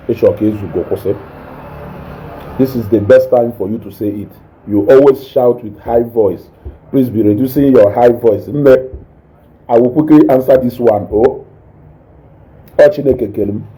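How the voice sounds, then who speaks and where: A man with a Nigerian accent and a naturally loud voice, hosting a casual live stream, reading viewer comments and mixing English with Igbo while he eats. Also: medium pitch, moderate pace, pauses often, a little hiss or hum, low-quality recording. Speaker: online show host reading viewer comments.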